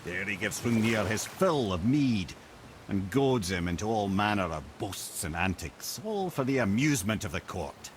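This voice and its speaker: An actor playing a wry, learned old Scottish storyteller in a video game, telling tales theatrically. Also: male voice, lowish voice, lively intonation, moderate pace, slight background noise, natural voice. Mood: animated, wry.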